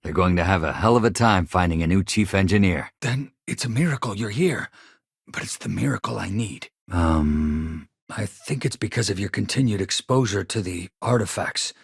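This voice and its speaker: Casting: video game engineer, earnest accident survivor, polite and hesitant. Husky, lively intonation, dry close studio sound. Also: male voice, low-pitched, moderate pace, no echo, exaggerated voice. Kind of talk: video game dialogue acting.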